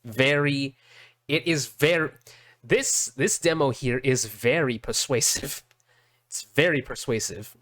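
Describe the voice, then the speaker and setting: A man giving casual reaction commentary as a streamer, halting and often repeating his words. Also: mid-range voice, a bit bright, dry recording.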